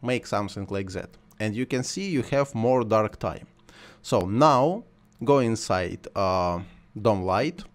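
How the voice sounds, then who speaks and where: A man whose first language is Russian or Ukrainian, talking through a screen-recorded software tutorial while he works, in simple, halting English. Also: on the low side, animated. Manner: thinks aloud while working, simple non-native phrasing.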